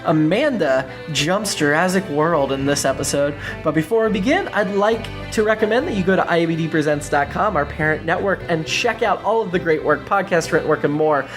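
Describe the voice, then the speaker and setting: A woman's voice: deep voice, fairly quick. A woman reading a scripted podcast introduction as the host. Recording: music behind the voice. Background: music.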